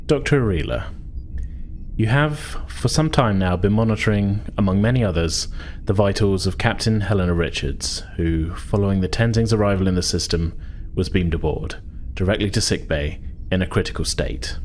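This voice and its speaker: A man's voice: sits low, moderate pace, darkish tone, a little hiss or hum. A man narrating a tabletop science fiction game as game master and host.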